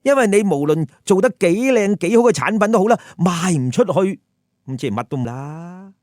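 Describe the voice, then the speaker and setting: A man's voice: high-pitched, lively intonation, brisk, a little mellow, dry recording, clean studio sound. A man telling a story with comic commentary, colloquial and putting rhetorical questions to listeners. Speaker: storyteller, host.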